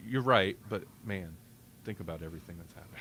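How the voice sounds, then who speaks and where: A man with a fairly low but bright, forward voice chats as a podcast host. He rambles wryly and cuts into his own sentences, with faint noise behind him. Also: a little fast. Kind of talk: casual banter on a live-streamed podcast.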